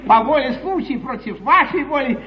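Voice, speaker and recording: An actor playing a suave, scheming English lord in a classical stage comedy, courtly, ironic and declamatory. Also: male voice, very high-pitched, animated, moderate pace. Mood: courtly irony. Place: theatre stage before an audience. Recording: dull, noisy 1953 theatre stage recording.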